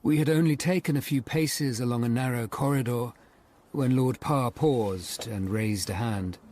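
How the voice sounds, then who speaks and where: Casting: male actor as humane, reflective English lawyer of the Tudor age, forties, measured radio drama narration, faint background noise. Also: mid-range voice, darkish tone, close to an everyday voice. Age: 40s. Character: melancholy middle-aged hunchbacked Tudor lawyer, humane and thoughtful.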